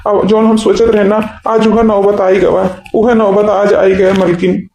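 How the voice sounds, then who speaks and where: A man's voice: high-pitched, flat delivery, gravelly, darkish tone, a little hiss or hum. A man voicing a helpless, henpecked husband in a village cartoon comedy, pleading and repeating himself in an eastern Uttar Pradesh accent. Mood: helpless, upset. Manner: pleading, repetitive, halting. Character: henpecked, helpless village husband caught between two wives. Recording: echoey room sound.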